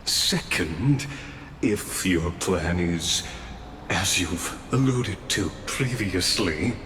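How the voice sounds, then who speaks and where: An actor voicing a formal, calculating robot gatekeeper in a video game, measured and unfailingly polite. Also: male voice, sits low, background noise. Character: courteous, calculating male robot watcher, long-lived gatekeeper. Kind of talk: scripted video game voice acting. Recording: echoing voice over clear background music.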